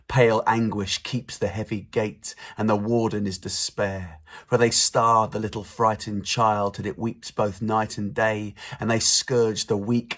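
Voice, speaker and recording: A man recites verse very slowly in a fairly low voice. The reading is grave and deliberate, shaped as a dramatic recitation. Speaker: poetry reader.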